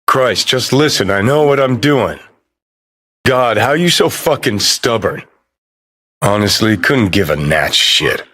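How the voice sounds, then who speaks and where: A man's voice: medium pitch, lively intonation, slow pace, bright, forceful tone, heavily acted. A man acting a brash, cynical rebel rocker ghost in a video game, curt, sardonic and profane, with some noise behind him. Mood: irritated, scornful.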